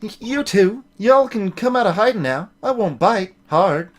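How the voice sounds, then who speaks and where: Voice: mid-range voice, animated, normal speed, slight background noise, close to an everyday voice. A performer voicing a brash, friendly young country woman from a video game, with a folksy drawl and a Southern American accent. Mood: folksy, breezy. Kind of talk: video game character voice-over.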